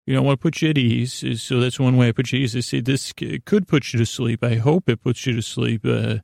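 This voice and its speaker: A man hosting a sleep podcast in a creaky, soothing voice, meandering and halting through a lulling bedtime monologue full of digressions. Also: mid-range voice, steady tone, a little mellow, no room sound, clean studio sound. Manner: meandering, halting, over-talking with many digressions. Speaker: podcast host.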